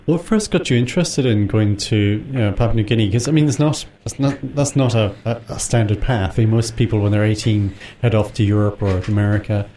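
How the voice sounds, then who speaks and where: A man's voice: on the low side, brisk, few pauses, mellow. A man hosting a radio interview show, speaking informally in loose, casual phrases. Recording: clear background room noise.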